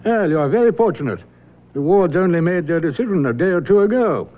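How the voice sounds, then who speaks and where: An actor playing a fussy, deferential apartment manager in a 1970s radio drama, chatty and polite. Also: male voice, high voice, animated, a little fast, slight background noise. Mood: obliging. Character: fussy, obliging male apartment building manager. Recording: tinny band-limited old radio recording.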